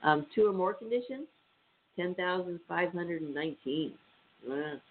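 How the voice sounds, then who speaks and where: A man's voice: high voice, expressive, normal speed. Stuffy-nosed man hosting call-in radio. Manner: chatty, many ums and uhs, reading out numbers. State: stuffy, nasal from allergies. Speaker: radio show host. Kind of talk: casual talk radio, reading statistics. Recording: tinny phone-line sound with faint hiss.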